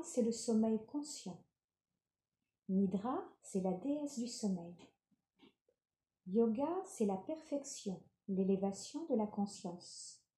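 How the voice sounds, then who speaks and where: Female meditation guide, very soft dark timbre, soothing and unhurried, recorded in a roomy space. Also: medium pitch. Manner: calm, unhurried, gentle instructing. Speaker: yoga nidra relaxation guide. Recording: roomy, reverberant sound.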